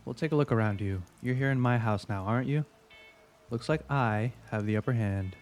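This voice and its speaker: A man's voice: medium pitch, darkish tone, soft background music, lightly acted. A man playing a smug veteran investigator in an audio drama, wry and probing as he interrogates. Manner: wry, needling, deliberate.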